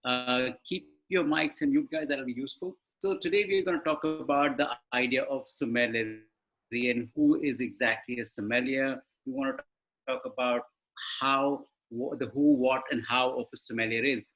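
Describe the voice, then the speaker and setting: A man's voice: mid-range voice, fairly even intonation, normal speed, fairly bright tone, low-quality recording. Man giving a webinar talk. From India. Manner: conversational, explanatory, asks rhetorical questions. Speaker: webinar panelist. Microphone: video call.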